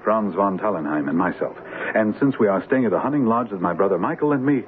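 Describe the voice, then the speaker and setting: An actor playing a jovial, impulsive young monarch in a 1970s radio drama, with a hearty, regal manner. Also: male voice, on the low side, quick pace, lightly acted. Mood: genial, expansive. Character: jovial, impulsive king about to be crowned. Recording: noisy narrow-band vintage radio broadcast.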